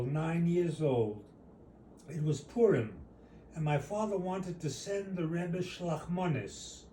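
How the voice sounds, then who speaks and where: A man reads a personal short story aloud at a slow, deliberate pace. His voice is mellow and somewhat high for a man. It is recorded in a roomy space at some distance from the mic. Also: slight background noise. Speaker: author reading own short story.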